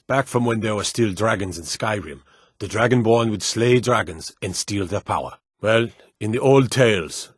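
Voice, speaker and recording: Plain-spoken fantasy town guard, dry close sound. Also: male voice, on the low side, husky, no room sound. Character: earnest, superstitious Nord town guard and soldier in a fantasy world. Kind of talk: video game voice lines.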